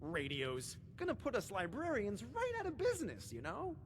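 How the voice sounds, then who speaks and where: A woman's voice: mid-range voice, huge pitch swings, normal speed, big-room sound, a little hiss or hum. A woman playing an eccentric, scatterbrained, book-obsessed librarian in a video game, rambling in sing-song rhymes and wordplay. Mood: agitated, evasive. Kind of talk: theatrical video game character acting.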